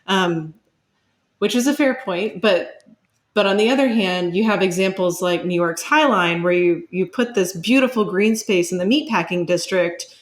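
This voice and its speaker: Lower-voiced woman explaining as a guest expert. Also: normal speed. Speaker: interview guest, urban science expert. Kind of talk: conversational expert interview.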